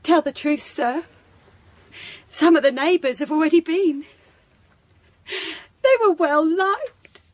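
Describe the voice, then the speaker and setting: Audio drama maidservant: very high voice, slow, dry sound, timid, humble, tearfully deferential. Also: female voice, no echo. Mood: timid, respectful. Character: deferential, tearful female household servant.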